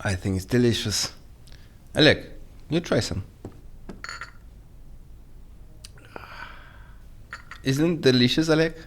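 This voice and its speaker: A man's voice: medium pitch, animated, normal speed, a little hiss or hum, lightly acted. A man playing a formal, commanding captor in an audio drama, businesslike and matter-of-fact about his plotting. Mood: insistent.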